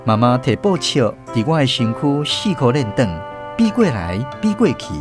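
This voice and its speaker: A man with a Taiwanese accent reading scripted storybook narration for a radio drama, expressive and reminiscing. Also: medium pitch, normal speed. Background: music.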